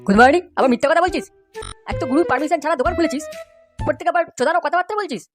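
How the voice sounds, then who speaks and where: A woman's voice: high-pitched, racing, over loud music, natural voice. Cartoon henchman played by a woman: bullying, sweary, rattling off threats at top speed. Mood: menacing. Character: foul-mouthed bullying young street thug, cocky henchman. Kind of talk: comic cartoon dubbing.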